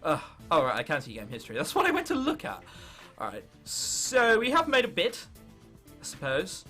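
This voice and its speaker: A man's voice: high-pitched, wildly swooping pitch, normal speed, pushed and bright, faint music behind. A young man of about nineteen with an English accent, giving casual, jokey gaming commentary and reading game text aloud. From England. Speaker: gaming video host.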